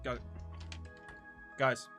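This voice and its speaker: A man's voice: extremely slow, pushed and bright, soft background music. A man of about thirty chatting casually to viewers as a channel host, in a playful, theatrically mock-serious way. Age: around 30.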